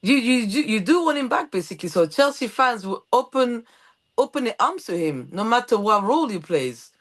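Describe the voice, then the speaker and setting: Lively Portuguese-accented woman host. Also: on the high side, a little fast. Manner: animated, storytelling with quoted voices. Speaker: podcast host. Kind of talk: casual interview chat.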